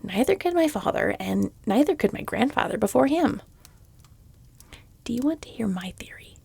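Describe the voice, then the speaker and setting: A woman's voice: fairly high pitch, sing-song, moderate pace, creaky, mellow, slightly rough, dry recording, full character voice. A woman playing a weary, exasperated shopkeeper in a fantasy audio roleplay, reluctantly polite, with dry, muttered sardonic asides. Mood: sheepish.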